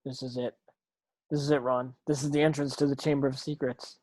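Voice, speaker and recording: A woman's voice: rumbling low, flat delivery, a little fast, a little mellow, low-quality recording. A woman playing a determined, earnest schoolboy wizard hero in an amateur script read.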